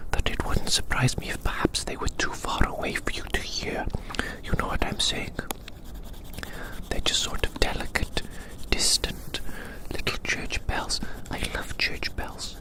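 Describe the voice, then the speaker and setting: A man speaks entirely in a breathy whisper, as in an ASMR roleplay. His manner is gentle and soothing, like a friendly caretaker. He takes his time and softly repeats short phrases. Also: poor audio. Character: gentle giant human befriending a little creature. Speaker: ASMR performer. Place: outdoors in the grass.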